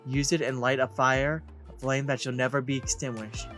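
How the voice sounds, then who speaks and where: A man's voice: medium pitch, level tone, moderate pace, fairly bright tone. A man hosting a let's play, reading game text aloud and commenting casually. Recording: game music behind the voice.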